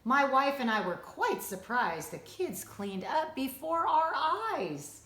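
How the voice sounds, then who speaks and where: Female storytelling teacher, higher-pitched, sing-song children's read-aloud, echoey room. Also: very animated, slow, fairly bright tone. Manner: rhythmic, sing-song delivery of rhymes. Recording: roomy, echoing indoor sound.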